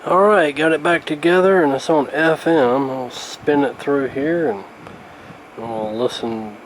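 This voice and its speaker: A man's voice: a little high, a little slow, a little hiss or hum, rough recording. A man giving casual hands-on radio repair commentary, hesitant and thinking aloud as he works. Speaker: radio repair hobbyist, host.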